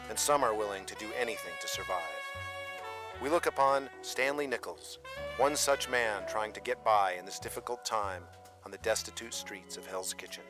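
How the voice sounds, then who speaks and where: A man delivering dramatic scripted narration for a radio drama. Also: on the low side.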